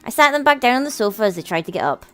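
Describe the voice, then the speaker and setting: A woman's voice: a little high, big pitch swings, very fast, a bit bright. A woman hosting a let's play, reading a visual novel aloud with casual commentary. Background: game music.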